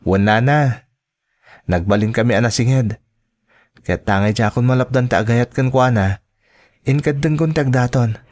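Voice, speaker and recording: An earnest young suitor played by a male actor explains himself politely in an Ilocano accent. It is radio drama acting with a mid-pitched voice, recorded dry and close. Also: normal speed, pauses often, darkish tone, no echo, natural voice. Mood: earnest, respectful. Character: earnest, polite young suitor who works in fast food.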